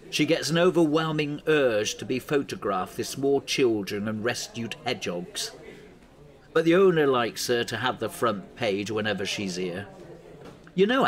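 An actor playing a middle-aged, supportive local newspaper editor in an audio drama. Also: male voice, medium pitch, close to an everyday voice.

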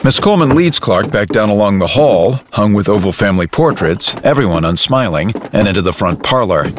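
A man's voice: on the low side, lively intonation, a little fast, noisy background. A man reading scripted dramatic narration for an audio drama. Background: music.

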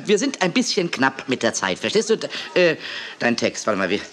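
A man's voice: medium pitch, sing-song, normal speed, a bit bright. A man playing a fussy, scatterbrained, overbearing TV director who stammers and repeats himself in a children's audio drama. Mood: flustered, dismissive.

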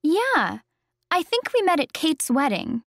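Actress doing a friendly chatty woman, high voice, textbook dialogue, dry recording. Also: big pitch swings, measured pace, a bit bright, no echo, full character voice.

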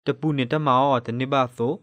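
A man's voice: normal speed, no room sound. A man reading scripted radio news. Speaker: news reader.

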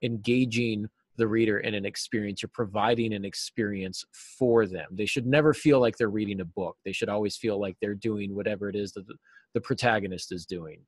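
Middle-aged male interview guest, lowish voice, informal teacherly explanations, close dry sound. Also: steady tone, fairly quick, breathy edge, no echo. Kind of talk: casual explanatory interview talk. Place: classroom, indoors. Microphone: computer mic on a video call.

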